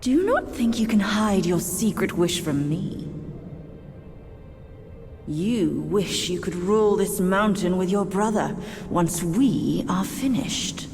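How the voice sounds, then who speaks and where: A woman's voice: medium pitch, takes it slowly, a little mellow. A woman voicing a proud, stern demoness queen and mother who speaks coldly and commandingly in a game cutscene. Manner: cold and commanding. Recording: music behind the voice.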